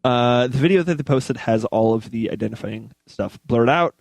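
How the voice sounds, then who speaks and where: A male co-host with a medium-pitched voice, recorded close and dry. He reads news stories aloud and slips into casual chat about them. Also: normal speed, creaky, dry recording.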